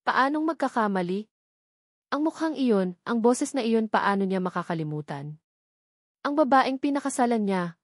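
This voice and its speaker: A woman's voice: mid-range voice, no echo, clean studio sound, close to an everyday voice. Woman voicing a desperate, heartbroken Filipino husband. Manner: pleading, emphatic. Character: heartbroken, desperate abandoned husband, adult man.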